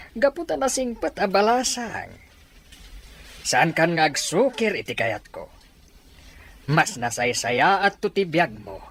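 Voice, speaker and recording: Man acting a lively, scheming old grandfather. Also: on the high side, slight background noise, full character voice. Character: scheming elderly grandfather, insistent matchmaker for his grandson. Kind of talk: radio drama acting.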